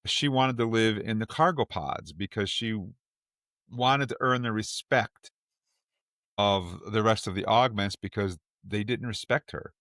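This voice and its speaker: A man hosts a podcast discussion in a conversational style. His voice is a little on the low side. The recording is close and dry, without echo. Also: measured pace, fairly bright tone. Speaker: podcast host. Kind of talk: casual discussion.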